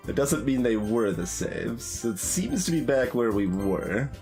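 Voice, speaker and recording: Guy streaming a game, kinda slow and hesitant, pitch moves around a lot, music faintly behind. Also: sing-song, darkish tone. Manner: hesitant, thinking aloud. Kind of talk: casual live playthrough commentary.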